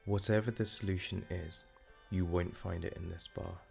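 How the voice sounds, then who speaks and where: A man plays a wise bartender in an audio drama. His voice is very low and gravelly, his manner calm, courteous and measured, with light music underneath. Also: exaggerated voice. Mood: calm, advising. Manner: measured, formal, unhurried.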